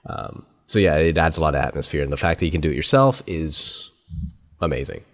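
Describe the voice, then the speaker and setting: Guy hosting a podcast, really deep voice, chatty and relaxed, dead dry mic. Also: brisk, dry recording. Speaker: podcast host. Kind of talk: casual interview and outro.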